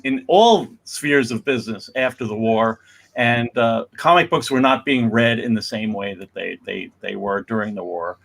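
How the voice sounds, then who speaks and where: A man hosting an event with an informal introduction, speaking in a halting, rambling way. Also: medium pitch, a bit bright. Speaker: host, museum executive director.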